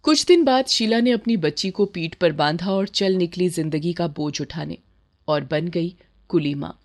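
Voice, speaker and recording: A woman's voice: lowish voice, moderate pace. A woman narrating a scripted moral bedtime story as a storyteller.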